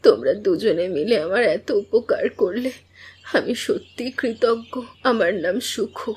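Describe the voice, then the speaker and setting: A woman's voice: mid-range voice, a little slow, darkish tone, husky. A woman playing an earnest, anxious young village sister in a cartoon drama, speaking in an emotional, pleading way. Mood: weak, grateful.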